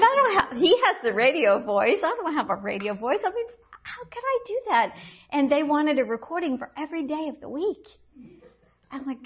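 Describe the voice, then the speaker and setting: A middle-aged woman who teaches the Bible to women, sharing her faith testimony in an earnest, reflective way with many restarts. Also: a little high. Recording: dull lo-fi recording.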